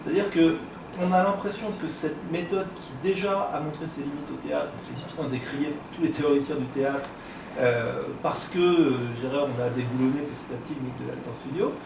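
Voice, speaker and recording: A man making critical, analytical points in a discussion, with academic wording and halting phrasing. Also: fairly high pitch, fairly even intonation, creaky. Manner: hesitant phrasing, articulate, academic vocabulary. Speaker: discussion participant, longtime game observer. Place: convention room. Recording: echoey room, distant mic, background noise.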